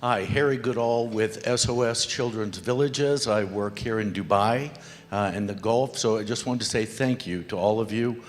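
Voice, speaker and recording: Man, middle-aged, lowish pitch, earnest and reflective, speaking in a long-echo hall. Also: normal speed, soft background music. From Democratic Republic of the Congo. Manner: earnest, reflective, quotes proverbs. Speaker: audience member asking from the floor. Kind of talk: public remarks at a panel event. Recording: reverberant hall.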